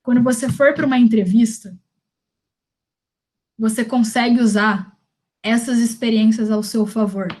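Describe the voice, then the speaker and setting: A woman's voice: a little high, fairly even intonation, a little slow, long pauses, a little mellow, low-quality recording. A young woman with a Brazilian Portuguese accent leads a career workshop in a casual, slangy way, often restarting her sentences. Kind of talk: informal workshop talk.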